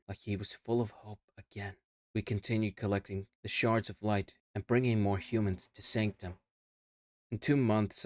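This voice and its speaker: Guy reading his character's audio log, slow and somber, kinda low voice, dead dry close mic. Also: dry recording. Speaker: VTuber narrating his character's lore. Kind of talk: scripted first-person audio-log narration.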